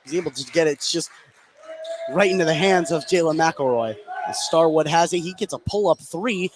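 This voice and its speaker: A man's voice: on the high side, moderate pace, noisy background. An American man doing live play-by-play basketball commentary on radio.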